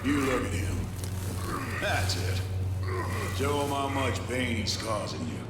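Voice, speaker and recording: An actor playing a sadistic, taunting renegade soldier with a menacing, mocking drawl in a video game cutscene. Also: male voice, medium pitch, a little slow, heavy background noise, close to an everyday voice. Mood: mocking menace. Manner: menacing, mocking drawl, swinging from calm to shouting.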